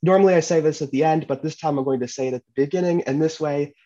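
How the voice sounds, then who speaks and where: A man hosting a podcast, talking in a relaxed, chatty way. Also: fairly high pitch, fast talker, highs cut off. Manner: relaxed, chatty, uses fillers. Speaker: podcast host. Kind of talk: casual podcast chat.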